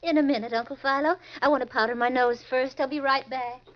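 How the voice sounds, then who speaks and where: Coaxing young femme schemer played by a woman, fairly high, falsely innocent and sweet, muffled vintage radio recording. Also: steady tone, quick pace, natural voice. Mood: light, casual. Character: sweetly sly young niece of a con man. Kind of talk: old-time radio crime drama acting.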